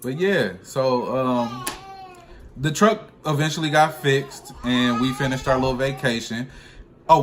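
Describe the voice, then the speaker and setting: A man in a casual, slangy storytelling chat, speaking informally with some swearing as a trucker vlogger. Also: mid-range voice.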